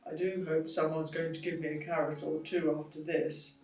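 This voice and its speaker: A woman's voice: mid-range voice, lively intonation, unhurried, a bit bright, roomy sound, distant mic, faint music behind, low-quality recording. A woman voicing a grumbling female pony who wants carrots. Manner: grumbling, muttering.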